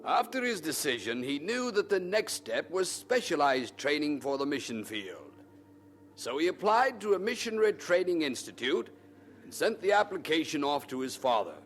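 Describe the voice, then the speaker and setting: A man's voice: fairly high pitch, very animated, normal speed, slight background noise. A man narrating a radio drama from a script in a dramatic storytelling style.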